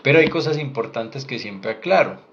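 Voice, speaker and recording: A man's voice: mid-range voice, expressive, normal speed, a bit bright. A Colombian man in his thirties, a doctor, speaking frankly and earnestly to camera about his career path with a Colombian accent. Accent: Colombian Spanish. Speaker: doctor sharing career advice. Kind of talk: direct-to-camera advice vlog. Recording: slightly dull lo-fi sound with faint noise.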